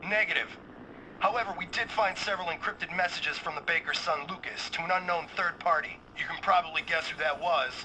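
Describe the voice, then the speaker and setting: A crisp radio soldier. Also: male voice, a little high, brisk, sharp tone, a little hiss or hum, low-quality recording. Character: military operative reporting by radio.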